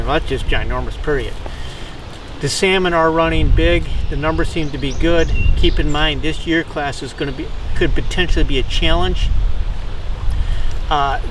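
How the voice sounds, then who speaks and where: An older man, a fishing guide, gives a casual, rambling update to a camera outdoors beside a stream, with lots of fillers. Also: a little high. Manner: relaxed, rambling, with frequent fillers. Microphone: camera on tripod a few feet away. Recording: distant mic, outdoor noise.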